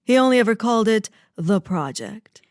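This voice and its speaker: Woman acting a theatrical, high-voiced wealthy widow. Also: animated, moderate pace, a little mellow, dry recording, studio quality, close to an everyday voice. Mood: defensive, wistful. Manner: theatrical, swooning, dramatic. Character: wealthy melodramatic widow, sultry and self-pitying. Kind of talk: parody of old-time radio detective drama.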